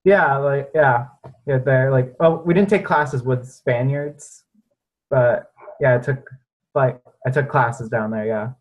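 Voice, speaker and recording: A laid-back university student in his early twenties chats casually, laughing often and filling his talk with "like". He sounds relaxed and friendly. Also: medium pitch, takes it slowly, lo-fi sound. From New Hampshire, US. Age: early 20s. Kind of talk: casual video call chat.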